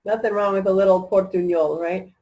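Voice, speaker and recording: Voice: mid-range voice, fairly even intonation, fairly quick, dark tone. An interviewer asking questions in a friendly, conversational way. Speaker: interviewer, host.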